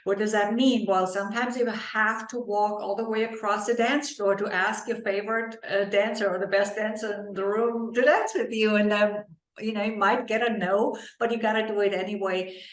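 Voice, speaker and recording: A woman from Germany with a German accent, a guest on a podcast talking about her life in a relaxed, reflective way and laughing lightly now and then. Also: mid-range voice, moderate pace, echoey room, low-quality recording. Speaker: podcast guest, leadership coach. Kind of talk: casual interview conversation.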